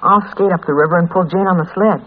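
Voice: medium pitch, quick pace, natural voice. An actor playing an earnest, protective young boy, the eldest of orphans, in a folksy, plain-spoken old-time radio drama style. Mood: firm, reassuring. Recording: scratchy, tinny 1940s radio recording.

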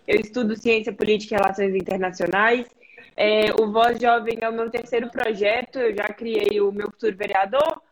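A woman's voice: mid-range voice, poor audio. Young Mineiro woman hosting a live interview. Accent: Mineiro Brazilian Portuguese. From Belo Horizonte, Brazil. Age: 20s. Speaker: host. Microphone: home setup, streamed live.

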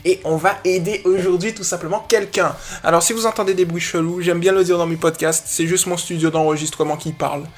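A man's voice: sits high, fairly even intonation, fairly quick, hardly any pauses. A man hosting a casual, improvised podcast as a dog trainer, chatting informally and playfully with slang and the odd English interjection.

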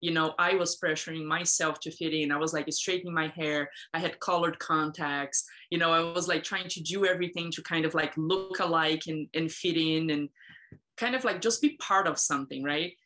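Chatty woman, low voice. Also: moderate pace, pushed and bright. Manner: chatty, laughs often, many fillers. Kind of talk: casual conversation.

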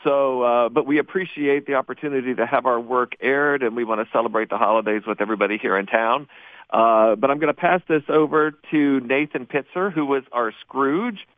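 A man's voice: mid-range voice, steady tone, a little fast, no echo. An American man, a teacher, speaking casually as an interview guest over the telephone. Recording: narrow, tinny phone line.

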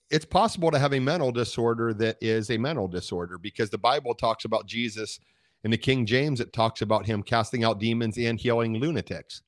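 A man speaking as a podcast guest, explaining conversationally in a halting way, often rephrasing. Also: mid-range voice, dry recording, clean studio sound.

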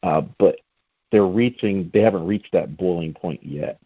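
A man with a deep voice hosting an interview, asking measured, thoughtful questions. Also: husky, dry recording. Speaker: interview host. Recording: dry, slightly dull lo-fi audio.

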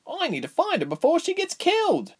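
Anxious, headstrong young storybook heroine, high and insistent; a male reader puts her on. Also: huge pitch swings, a little fast, full character voice. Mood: alarmed.

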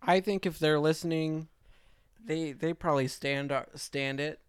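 A man's voice: a little high, fairly even intonation, measured pace, smooth, no echo. Male podcast co-host, rambling jokester; dry, close sound. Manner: joking, rambling. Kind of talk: casual chat.

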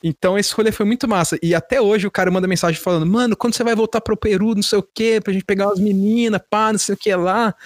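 Higher-voiced Brazilian man telling stories. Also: steady tone, fast, hardly any pauses, no echo. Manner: slangy, foul-mouthed. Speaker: podcast host. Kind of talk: casual chat, animated storytelling.

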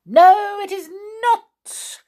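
Woman voicing a shrill, spiteful little imp man. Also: very animated, a little slow, vocal fry, full character voice. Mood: shrill refusal. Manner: shrill shouting, sing-song boasting. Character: tiny gleeful spiteful imp man with a long tail. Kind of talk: children's fairy tale storytelling.

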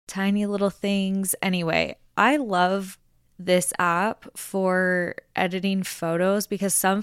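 A woman's voice: medium pitch, clear, resonant tone, no room sound, studio quality. A woman in her twenties hosting a casual solo podcast, chatty and rambling with lots of filler words. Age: 20s. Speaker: podcast host.

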